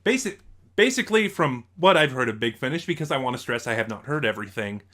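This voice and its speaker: A man giving a casual review and story recap, speaking haltingly with asides and self-corrections. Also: medium pitch, lively intonation, sharp tone. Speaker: audio drama reviewer.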